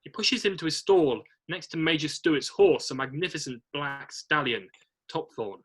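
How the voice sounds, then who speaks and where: A man's voice: on the high side, normal speed, fairly bright tone, breathy edge. A man narrating, reading screenplay stage directions aloud in an amateur read-through.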